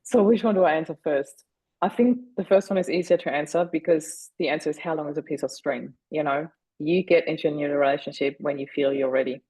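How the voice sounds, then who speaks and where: A woman in her forties from Austria, a German speaker, who talks as a podcast guest and tells her story earnestly and deliberately, slowing down on key moments. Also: sits low, dark tone, breathy edge, no room sound, low-quality recording. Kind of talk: reflective storytelling.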